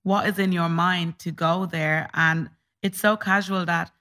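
A woman's voice: lowish voice, fairly even intonation, unhurried, pushed and bright. A woman from the Caribbean with a West Cork accent, a guest talking earnestly and searching for words. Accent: West Cork Irish. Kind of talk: casual chat.

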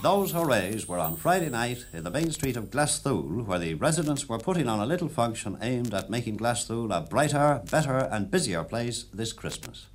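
A man's voice: medium pitch, sing-song, a little fast, few pauses. An Irish man reporting and interviewing in a jocular 1950s radio style, recorded on a street for an old newsreel. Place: outdoors on a busy street. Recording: noisy 1950s archive outdoor radio recording.

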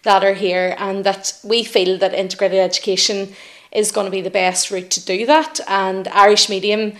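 A woman with a Belfast accent talks as a parent in a news interview. She is earnest and happy, at a middle pitch. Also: flat delivery, normal speed, fairly bright tone. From West Belfast.

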